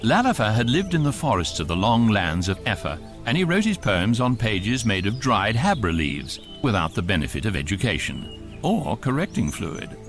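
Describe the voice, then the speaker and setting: A man's voice: fairly low pitch, sing-song, moderate pace, a bit bright. A man with a British accent as the urbane, wry voice of a galactic guidebook, narrating a scripted radio comedy. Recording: faint music under the voice.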